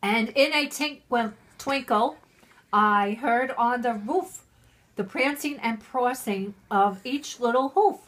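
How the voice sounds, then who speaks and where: Drunk woman stumbling slowly through a reading. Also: a little high, fairly bright tone. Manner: halting, stumbling over words, irreverent. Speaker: mother reading a Christmas poem to her family. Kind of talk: tipsy storybook reading with ad-libbed asides.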